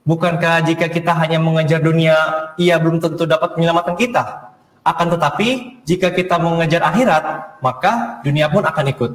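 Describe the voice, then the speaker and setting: A man's voice: a little high, a little fast, natural voice. A young man playing a friendly, devout college student raised abroad, speaking casually and earnestly in acted film dialogue. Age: young adult. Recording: echoey, reverberant hall playback.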